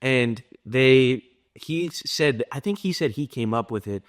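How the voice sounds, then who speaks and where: A man's voice: medium pitch, expressive, measured pace. A man hosting a casual interview, asking questions in informal, slightly hesitant phrasing. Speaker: interviewer, host.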